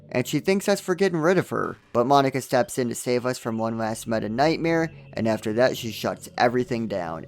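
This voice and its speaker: A man's voice: mid-range voice, vocal fry. A man hosting a scripted video game review, narrating in a wry, joking, self-deprecating way with comedic asides.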